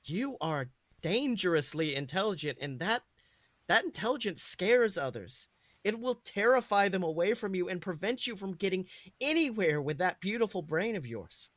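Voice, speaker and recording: A man plays a secretive witch mother in a fantasy story. The voice is high and bright, recorded dry. She lectures sternly, with measured emphasis and protective conviction. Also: big pitch swings, dry recording. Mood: earnest warning. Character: stern, secretive witch mother cautioning her clever son.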